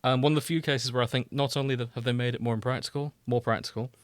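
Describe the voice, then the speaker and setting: A man's voice: medium pitch, steady tone, fast talker, no room sound, studio quality. A British man explaining things in a casual, wry, informal podcast chat, sometimes sweary. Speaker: podcast host explaining a video game.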